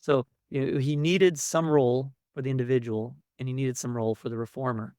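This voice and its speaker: A man's voice: mid-range voice, steady tone, fairly quick, a little mellow, no echo. Man giving a conversational academic lecture, dry sound. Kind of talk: public academic lecture with slides.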